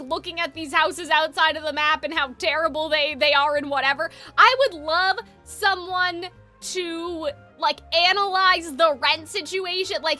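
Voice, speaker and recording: A woman streamer with a very high, bright voice. She comments casually on a game, animated and opinionated, often rambling. Faint game music sits behind her. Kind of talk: casual live gaming commentary.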